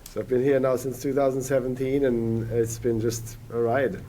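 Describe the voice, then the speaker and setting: Rambling German man, mellow voice. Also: mid-range voice, little pitch movement, moderate pace, slight background noise. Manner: rambling, many hesitant restarts and fillers. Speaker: guest. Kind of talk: casual podcast conversation.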